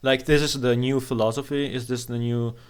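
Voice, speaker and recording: A man giving an unscripted, opinionated rant as a video commentator, blunt, scornful and profane, with a halting, stammering delivery. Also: mid-range voice, level tone, clean tone.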